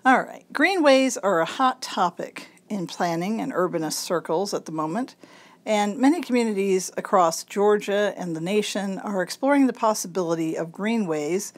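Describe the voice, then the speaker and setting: A woman with a raspy, congested voice reads a local magazine article aloud, speaking slowly and enunciating with care. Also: medium pitch. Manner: slow, careful enunciation. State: congested, throat-clearing. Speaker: volunteer reader of a local magazine.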